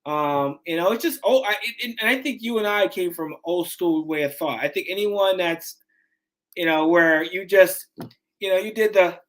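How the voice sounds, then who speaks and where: Fitness trainer guest, man in his 40s, slightly high voice, animated and loose, keeps restarting. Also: normal speed. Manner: animated, restarts often, says man and dude. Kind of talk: casual interview chat.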